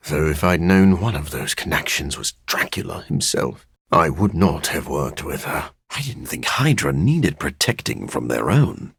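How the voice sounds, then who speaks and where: A gravelly, low-pitched vampire scientist from a video game. He speaks formally and with dry, melancholy wit, his intonation swinging widely. He sounds like a learned, brooding creature of the night. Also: a bit bright, dry recording, full character voice. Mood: dry, musing. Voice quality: gravelly, husky. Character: brooding, dry-witted living vampire scientist, adult man. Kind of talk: video game character voice lines.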